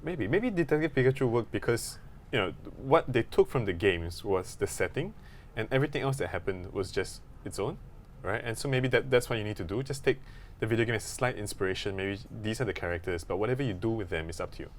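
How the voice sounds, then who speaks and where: A man's voice: on the low side, expressive, fast. A man co-hosting a podcast, thinking aloud in casual discussion, with faint noise in the background. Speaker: podcast co-host.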